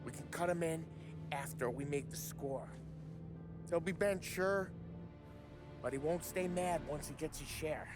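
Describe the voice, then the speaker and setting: A man's voice: on the high side, a little slow, pauses often, over music, natural voice. An actor playing a restless, wisecracking mob crewman who talks in a brash, defensive way. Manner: brash, defensive, hot-headed. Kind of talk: video game cutscene acting. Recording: game cutscene with loud score.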